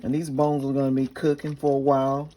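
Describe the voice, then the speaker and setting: A woman with a raspy voice, chatting casually to her viewers in a home kitchen cooking vlog. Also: a bass voice, flat delivery, a little fast, mellow. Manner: chatty, talks straight to viewers. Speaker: cooking vlogger.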